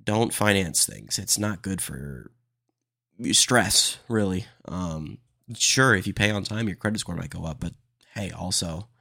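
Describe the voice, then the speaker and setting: A man's voice: deep voice, animated, unhurried, creaky, bright, forceful tone. A young man hosting a casual comedy podcast, a bit nasal from allergies, blunt and opinionated and full of "dude". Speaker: podcast host.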